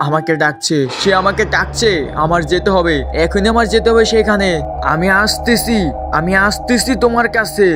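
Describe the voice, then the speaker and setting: An obedient, timid schoolboy son in a cartoon, played by a man, speaking in a high voice. Loud music plays behind him. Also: natural voice. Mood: entranced. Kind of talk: amateur animated horror cartoon dub.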